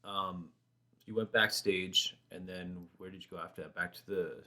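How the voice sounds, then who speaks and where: Brief: very low-voiced man, casual sidekick, short backchannels and jokes. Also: fairly even intonation, moderate pace, sharp tone. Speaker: co-host reacting alongside. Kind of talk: casual chat.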